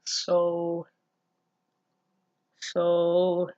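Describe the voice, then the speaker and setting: A woman with a fairly low voice in a dry, echo-free recording. She comments casually and comically on what she reads, swearing freely. Her manner is sardonic and exasperated, often stammering. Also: completely flat delivery, extremely slow, mellow, lo-fi sound. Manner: breaks into laughter and stammers. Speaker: reader and commentator of a fan fiction series. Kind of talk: casual comedic reading with running commentary.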